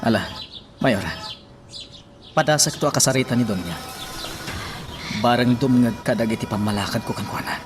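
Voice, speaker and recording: Radio drama priest, played by a man: earnest counsellor, measured delivery, medium pitch, prominent music behind. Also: far from the mic, natural voice. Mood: earnest, concerned. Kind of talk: Ilocano radio drama acting. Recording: loud music bed behind the voice.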